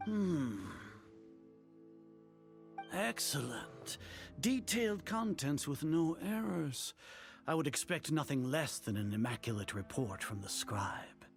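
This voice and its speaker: An actor playing an arrogant older grand sage in a video game, speaking in a pompous, formal and condescending way. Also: male voice, fairly high pitch, lively intonation, slow pace, vocal fry, soft background music, heavily acted. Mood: smug, cold.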